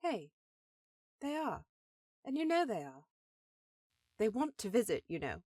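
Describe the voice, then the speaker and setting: A woman's voice: fairly high pitch, big pitch swings, measured pace, darkish tone, no echo, studio quality. A woman playing an affectionate, teasing elf headmistress in a scripted fantasy audio roleplay, composed and slightly formal. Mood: affectionate, reassuring. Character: powerful elf sorceress sister, affectionate, teasing, dutiful headmistress.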